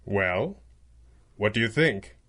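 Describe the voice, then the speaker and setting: A man's voice: fairly low pitch, lively intonation, takes it slowly, a bit bright, slight background noise. A man playing a composed, gallant masked crimefighting leader in a 1930s-style radio drama, reassuring and dryly wry. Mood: assured. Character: calm, gallant masked male vigilante leader. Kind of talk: pulp radio drama acting in a 1930s manner.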